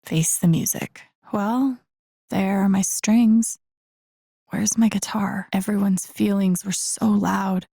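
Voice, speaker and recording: A young woman in a video game, quietly turning over a hard past. Her voice is soft and husky, often breathy, with a gravelly fry. She speaks in a subdued, confiding inner monologue, recorded very dry. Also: mid-range voice, fairly even intonation, slow pace, no room sound, full character voice. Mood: troubled, resolute. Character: pensive, wounded young woman recalling a hard childhood.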